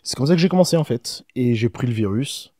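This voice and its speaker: A man in his thirties, a podcast guest telling his story in a relaxed way, full of fillers, close on a studio mic. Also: medium pitch, a little fast, dry recording. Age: 30s. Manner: easygoing, many fillers. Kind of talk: casual interview answers. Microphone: studio podcast microphone.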